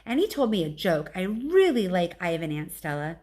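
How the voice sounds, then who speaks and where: A woman's voice: fairly low pitch, sing-song, moderate pace, darkish tone. A woman voicing an eager, curious young female baby elephant in a storybook, chatty and full of breathless questions. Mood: playful.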